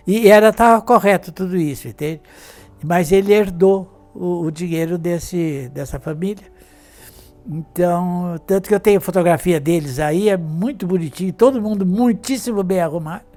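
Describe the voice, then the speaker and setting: Elderly man, 80s, from Brazil, high voice, rambling reminiscence, faint music behind. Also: mellow. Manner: rambling, with many restarts and tag questions. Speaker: interviewed artist and fashion designer. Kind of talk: reminiscing in an interview.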